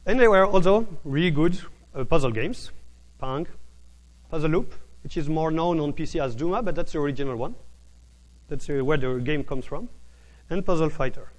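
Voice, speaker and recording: A man's voice: on the high side, moderate pace, poor audio. A man giving a technical conference talk, often restarting his words, with a voice he calls bored. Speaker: conference speaker.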